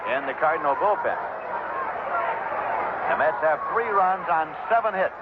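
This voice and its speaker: High-pitched American baseball announcer, old radio. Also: male voice, lively intonation, normal speed. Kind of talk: live sports commentary. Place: stadium broadcast booth. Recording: muffled old radio broadcast, loud crowd noise. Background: loud ballpark crowd and hiss.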